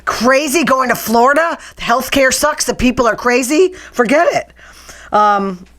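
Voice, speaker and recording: A woman's voice: high-pitched, expressive, a little slow, fairly bright tone. Chatty, blunt woman. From New England. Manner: chatty, blunt, sarcastic rants. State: strained, nearly lost voice. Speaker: podcast host. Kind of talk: casual talk show chat.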